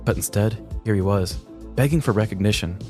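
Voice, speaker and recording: Casting: male podcast narrator, 30s, lower register, mock-dramatic comic crime storytelling over light music. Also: fairly quick. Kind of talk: scripted true-crime comedy narration. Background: music bed.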